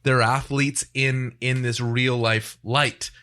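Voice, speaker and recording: A man's voice: mid-range voice, flat delivery, takes it slowly, bright, forceful tone. A man co-hosting a studio podcast, asking long, looping questions and joking with his guest. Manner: chatty, joking, asks long rambling questions. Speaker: podcast co-host. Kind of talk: casual studio chat.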